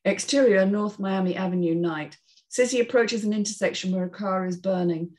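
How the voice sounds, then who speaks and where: A woman's voice: mid-range voice, fairly quick, a little mellow. A woman narrating stage directions at a screenplay table read, in a scripted, read-aloud style.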